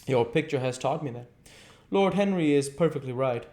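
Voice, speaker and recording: A man voicing an anguished, vain young man who fears growing old, in a high, strained and pleading voice. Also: expressive, normal speed, mellow, close to an everyday voice.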